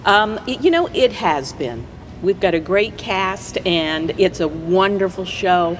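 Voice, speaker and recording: A woman's voice: lowish voice, animated, measured pace. An American woman speaking conversationally as a guest in a casual interview. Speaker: interview guest, play director. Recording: faint background noise.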